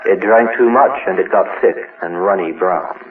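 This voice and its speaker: A man reading a horror story in a formal, measured, theatrical style through a radio filter. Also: low voice. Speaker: horror story host and reader. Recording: tinny old-radio sound, music behind.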